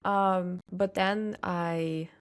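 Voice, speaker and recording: A woman's voice: on the low side, steady tone, extremely slow. A young adult woman from Russia with a Russian accent, chatting casually as a podcast guest, hesitant with lots of fillers and frequent laughs. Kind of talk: casual interview chat.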